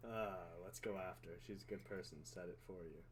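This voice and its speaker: A man's voice: sits low, steady tone, a little fast, slight background noise. A man doing casual live gameplay commentary as a streamer, offhand, slangy and given to swearing. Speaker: game streamer. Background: loud video game music.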